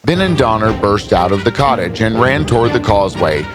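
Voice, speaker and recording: Animated male storyteller over music. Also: fairly low pitch, a little fast. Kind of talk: scripted storybook narration.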